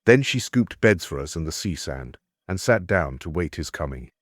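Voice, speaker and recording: Deep, dramatic warrior king storyteller. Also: big pitch swings, moderate pace, no echo, clean studio sound. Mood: dramatic, storytelling. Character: Greek warrior king recounting his hardships, resolute, earnest. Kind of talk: dramatized epic audiobook narration.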